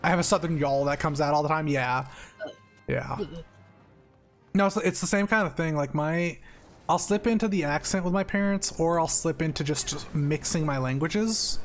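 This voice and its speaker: A man's voice: a little high, moderate pace, soft background music. A man streaming a game, chatting loosely with a slight stutter, restarting his sentences and laughing often. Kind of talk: casual chat while gaming.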